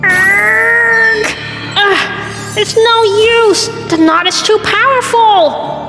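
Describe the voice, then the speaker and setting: Young female pony for cartoon dub, woman actor: optimistic, chatty, unhurried, set in roomy reverb with music underneath. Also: very high voice, full character voice. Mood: frustrated. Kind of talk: cartoon voice acting in a comic dub.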